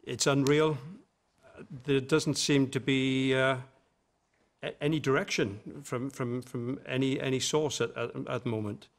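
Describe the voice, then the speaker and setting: A man speaking as an audience member, giving political comment in a hesitant, halting, dry deadpan way. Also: medium pitch.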